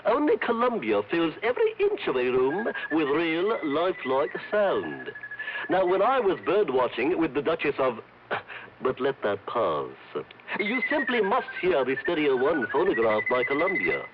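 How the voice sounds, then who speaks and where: Pompous, swooping British birdwatcher. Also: male voice, on the high side, wildly swooping pitch, normal speed. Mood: stuffy, digressing. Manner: pompous, stuffy, throat-clearing asides. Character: pompous, fussy upper-class British birdwatcher lecturer. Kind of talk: comic vintage radio advertisement. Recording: noisy, muffled old radio broadcast.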